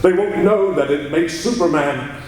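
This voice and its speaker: A man's voice: fairly high pitch, measured pace, darkish tone, lightly acted. A man playing an anxious, evasive reporter with a secret identity, stammering in a 1940s radio serial style. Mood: troubled. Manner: stammering, agitated. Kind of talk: 1940s radio serial acting performed live. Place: large live convention hall. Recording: echoey hall, distant mic, faint noise.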